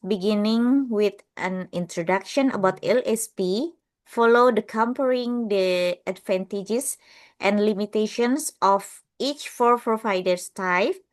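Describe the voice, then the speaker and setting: A woman with an Indonesian accent reading a case study aloud in class, careful and unhurried, with small slips. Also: mid-range voice.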